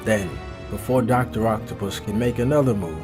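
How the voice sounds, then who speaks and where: Low-voiced man narrating a comic. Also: fairly quick. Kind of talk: dramatic scripted comic book narration. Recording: music behind the voice.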